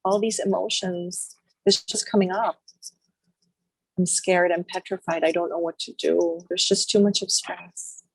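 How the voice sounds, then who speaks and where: A woman leading a guided healing meditation, speaking slowly and softly in short repeated phrases with long pauses. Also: fairly low pitch, fairly even intonation, creaky, breathy edge, no echo, rough recording. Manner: slow, soft, repetitive phrases with long pauses.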